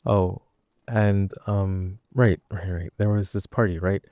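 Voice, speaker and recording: Man acting young male best friend, teasing, rambling, wry, self-interrupting, dark relaxed tone, dry close sound, intimate audio roleplay. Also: low voice, takes it slowly, dry recording. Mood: teasing, flustered. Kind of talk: intimate boyfriend roleplay audio, casual storytelling.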